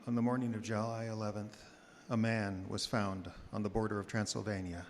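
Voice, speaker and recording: Radio horror drama: male actor as deliberate, formal gentleman doctor, on the low side, recorded in a ringing theater. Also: little pitch movement, lightly acted. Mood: formal, grave. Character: earnest, formal gentleman doctor narrating the tale. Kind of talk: scripted radio drama narration. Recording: reverberant theater with faint background noise.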